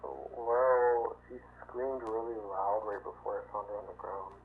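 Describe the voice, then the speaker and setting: Over a thin, tinny phone line comes an actor as an anxious young man. He talks haltingly and a little low, like a real caller asking a friend for help in an analog horror drama. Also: steady tone. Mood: anxious, hesitant. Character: worried young man calling a friend for a favor. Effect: telephone filter. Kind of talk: naturalistic horror phone-call acting.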